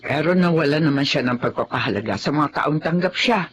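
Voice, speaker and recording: An actress playing a kindly, worried mother who speaks gently about her difficult daughter. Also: deep voice, animated, fairly quick, slight background noise. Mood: concerned.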